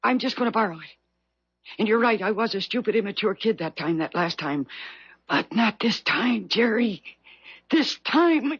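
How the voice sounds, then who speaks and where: Husky, desperate young schemer. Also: female voice, medium pitch, expressive, no room sound. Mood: feverish, insistent. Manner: intense, dramatic, halting insistence. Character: desperate, scheming young woman, pleading and volatile. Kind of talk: 1970s radio drama acting. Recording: muffled old radio broadcast.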